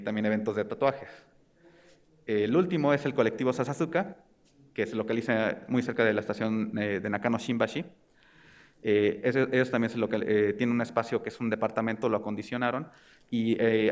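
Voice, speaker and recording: A young man with a Mexican accent giving an academic research presentation, speaking hesitantly and often searching for words. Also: fairly low pitch. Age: young adult. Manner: hesitant, halting delivery with searching pauses. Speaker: graduate student presenting research.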